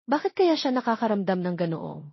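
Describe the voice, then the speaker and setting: A woman's voice: on the high side, big pitch swings, a little fast, slightly rough, no echo, muffled recording. A woman narrating a romance story from a script, as a storyteller.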